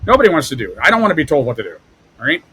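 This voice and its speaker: A man's voice: on the high side, wildly swooping pitch, rapid-fire, sharp tone, slightly rough. A man in his forties hosting an interview podcast, talking casually and speaking straight to the listeners, with frequent restarts. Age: 40s. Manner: addresses listeners directly, restarts often. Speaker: podcast host.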